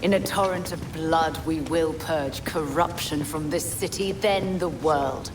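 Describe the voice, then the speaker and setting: An actress playing a menacing, zealous leader of assassins in a video game, measured, imperious and grandiose. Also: female voice, sits low, background noise. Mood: menacing resolve. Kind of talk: cinematic video game acting.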